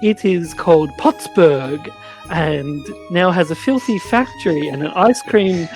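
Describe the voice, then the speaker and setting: A woman's voice: lowish voice, animated, measured pace, darkish tone, faint music behind. A woman narrating a comic radio play.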